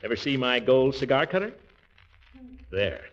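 Man playing pompous, wildly animated old-time radio uncle. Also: mid-range voice, normal speed, close to an everyday voice. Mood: self-important. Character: pompous, blustering middle-aged uncle, vain small-town big shot. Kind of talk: 1940s radio comedy acting. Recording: muffled vintage 1940s radio recording.

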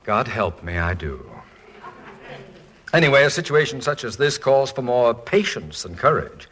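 An actor playing a fretful, erudite college president and husband in 1940s radio comedy, dry, eloquent and mock-pompous. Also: male voice, mid-range voice, sing-song, a little slow, fairly bright tone. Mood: wry, exasperated.

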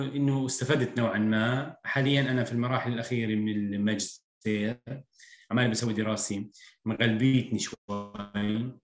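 A man in his thirties with a rural Palestinian accent, talking frankly as a podcast guest, reflective and quick to laugh. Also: lowish voice. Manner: candid, reflective, laughs easily. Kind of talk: casual podcast conversation. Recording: echoey room with faint music.

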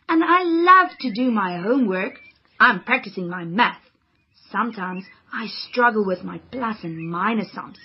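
A woman doing a high prim schoolgirl. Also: husky, muffled recording. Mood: excited. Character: studious young girl who avoids exercise.

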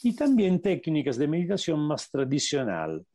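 A man with an Italian accent, a native Italian speaker, giving a radio interview as a meditation teacher and often pausing to search for the right word. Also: fairly high pitch, huge pitch swings, dark tone, dry recording, studio quality. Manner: searching for words, slowing to stress points. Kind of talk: reflective interview answers.

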